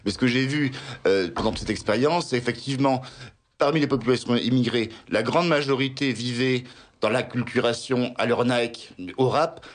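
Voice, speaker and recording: A man's voice: mid-range voice, moderate pace. A man from the Paris suburbs with a French accent, making a spontaneous, digressive argument in a studio discussion. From Paris suburbs, France. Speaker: panel guest. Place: radio studio.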